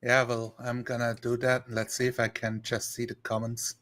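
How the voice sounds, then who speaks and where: A man chatting casually on a live stream, rambling and thinking aloud in a halting way. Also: mid-range voice, completely flat delivery, fairly bright tone.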